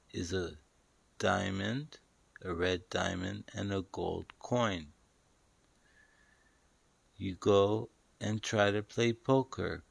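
Man, low-pitched voice, unhurried guided meditation narration, deliberate pauses, dry close sound. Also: creaky, dry recording. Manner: deliberate, short pausing phrases, instructing the listener. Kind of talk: guided meditation, slow storytelling.

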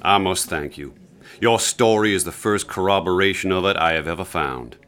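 An actor playing a courteous lawman in a radio drama, speaking formally and with measured politeness. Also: deep voice, a bit bright, clean tone. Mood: courteous, grateful.

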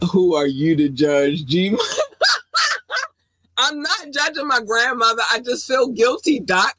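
A woman hosting her own podcast in a loose, rambling chat. She is warm-hearted and affectionate, laughs often, and her voice leaps up into excited squeals. The sound is dry and close, with no room echo. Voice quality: affectionate, calls listeners "baby", jumps into high squeals when excited. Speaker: podcast host. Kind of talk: casual solo podcast chat with shout-outs.

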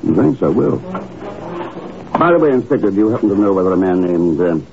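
A shrewd, composed secret agent speaks low and dryly, probing smoothly. It sounds like an old 1950s radio drama, narrow and muffled with some background noise. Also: male voice, expressive, fairly quick. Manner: dry, unruffled, probing. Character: suave, shrewd male secret agent posing as grain buyer. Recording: muffled, noisy old radio broadcast recording.

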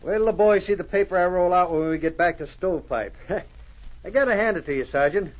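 A man playing a folksy, excitable frontier sidekick in an old radio adventure, with an animated way of talking. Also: high voice, fairly quick, close to an everyday voice. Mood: gleeful. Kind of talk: 1940s radio acting. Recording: muffled old radio recording.

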